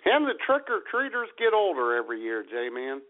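A man's voice: on the high side, wildly swooping pitch, normal speed. A man co-hosting a comedic radio show, trading playful banter and slipping into mock grumpy voices. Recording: phone-like radio audio.

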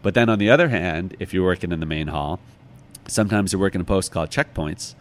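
A man's voice: sits low, quick pace. An American man talking as an interview guest in a reflective, casual and musing way. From United States, Chicago. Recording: clearly noisy background.

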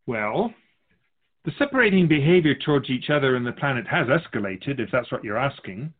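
A man plays a wise otherworldly guardian of souls in a radio drama, patient and reassuring. The voice is husky and swings widely in pitch, with a measured, philosophical delivery. The recording is a little dull and narrow. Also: animated. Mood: grave, explanatory. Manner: measured, philosophical, musing, addressing humanity as an outsider.

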